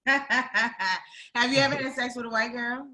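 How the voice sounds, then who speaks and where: A woman born in Greece chatting casually on a podcast, animated, laughing often and swearing freely. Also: a little high, a little slow.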